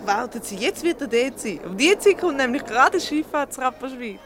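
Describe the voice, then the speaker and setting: An actress playing a bossy, needling married woman in a radio comedy, with a Swiss accent and a brisk, reproachful manner. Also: sits high, lively intonation, music in the background. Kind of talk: radio comedy play acting.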